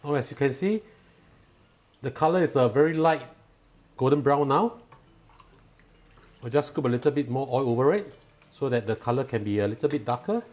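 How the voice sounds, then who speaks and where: A middle-aged man hosting a cooking show, explaining each step calmly and patiently in a home kitchen. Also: medium pitch, normal speed, long pauses. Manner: calm, patient and instructive, enthusiastic when tasting.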